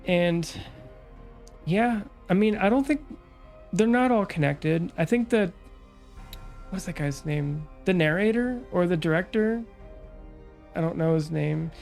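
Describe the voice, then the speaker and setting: A young woman VTuber chatting calmly about her stream plans, her nose stuffed up from a cold, with lots of fillers. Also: on the low side, vocal fry. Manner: calm, relaxed, rambling with many fillers. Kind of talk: casual stream chat.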